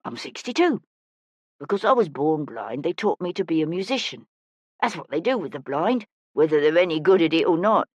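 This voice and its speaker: A woman's voice: sits low, sing-song, a little fast, dry recording. A woman voicing a blind woman in her sixties, a folksy, feisty but kindly street musician in an audiobook. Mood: gentle, consoling. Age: 60s. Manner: folksy, plain-spoken, feisty.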